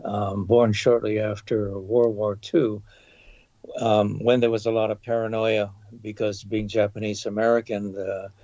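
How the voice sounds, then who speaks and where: A man in his seventies from Hawaii, a podcast guest recalling his life in a measured way, pausing often with um and uh. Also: fairly low pitch, flat delivery, warm tone, no echo. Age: 70s. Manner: measured, with frequent um and uh pauses. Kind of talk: thoughtful reminiscing interview. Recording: dull, lo-fi dry recording.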